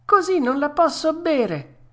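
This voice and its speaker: A very high, childish storybook voice of a little wooden puppet boy, acted out by a woman. He is stubborn and whiny, protesting everything with dramatic, wailing exclamations. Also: big pitch swings, unhurried. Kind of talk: storybook character voice.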